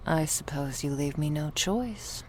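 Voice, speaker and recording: A woman playing a drowsy, possessive, affectionate ancient vampire girlfriend in a soft, breathy ASMR roleplay, speaking languidly in formal, old-fashioned phrases with a teasing manner, close to the microphone. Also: low-pitched, expressive, unhurried, a little hiss or hum. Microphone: close microphone, dry.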